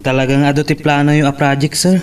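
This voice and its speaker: An actor playing a respectful business aide in a radio drama, with a Filipino accent. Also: male voice, a little high, level tone, quick pace, darkish tone, smooth, lightly acted. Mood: deferential. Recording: distant from the mic.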